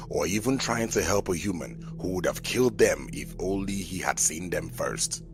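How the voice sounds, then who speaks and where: A man's voice: low-pitched, gravelly. A man narrating a scripted folk tale as a storyteller. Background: background music.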